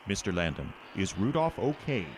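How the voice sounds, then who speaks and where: Through light noise comes a man acting a kindly old Santa from a radio play. The voice is low and rises and falls freely. He stammers and hesitates, sounding flustered. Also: sing-song, a little slow. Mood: worried. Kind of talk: audio drama acting.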